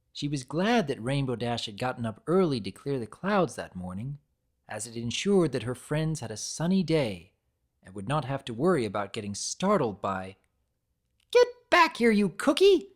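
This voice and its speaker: A woman's voice: very deep voice, a little mellow. A woman giving a dramatic, scripted narration of a story as its narrator.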